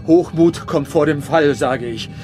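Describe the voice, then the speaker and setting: A man playing an agitated scientist full of foreboding in a radio drama, halting and urgent. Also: on the high side, music in the background, lightly acted. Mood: uneasy, insistent. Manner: halting, urgent, repeats himself. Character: agitated, anxious male scientist, foreboding and insistent.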